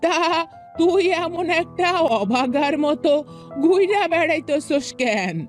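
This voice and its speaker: Woman voicing a slow-talking kindly old granny. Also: high voice, expressive, faint music behind. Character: kindly, lonely old village grandmother in a hut. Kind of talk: cartoon fairy-tale voice acting.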